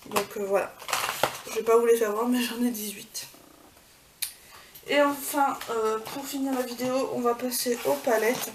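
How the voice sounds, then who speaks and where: Woman, beauty vlogger, casual haul chat, lots of fillers, fairly high voice, distant mic. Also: steady tone, moderate pace, long pauses. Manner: chatty, many fillers and self-corrections. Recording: far from the mic, clear background noise.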